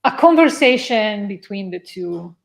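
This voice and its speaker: A woman's voice: mid-range voice, expressive, measured pace, gravelly. A woman from Italy, a guest on a video call, talking cheerfully and chattily about herself. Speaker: guest speaker. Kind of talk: casual video call chat.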